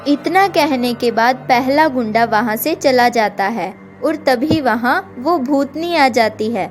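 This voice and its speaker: A woman tells a scripted story for children in a slightly high voice. She addresses listeners directly as she narrates, with music underneath. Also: expressive, slow pace. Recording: music behind the voice.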